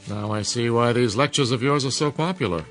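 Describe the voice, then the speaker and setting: An actor playing an inquisitive, skeptical older chemistry professor in a radio drama, courteous but probing. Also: male voice, medium pitch, fairly quick, a bit bright, faint music behind, lightly acted. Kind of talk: modern radio drama acting.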